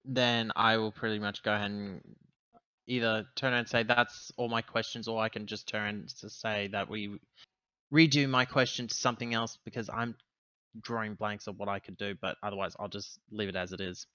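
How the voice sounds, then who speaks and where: Bright-voiced man, halting, in dull audio. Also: lowish voice, fairly even intonation, smooth, no echo. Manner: halting, hesitant phrasing with pauses. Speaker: tabletop roleplaying game player. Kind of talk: improvised in-character roleplay talk.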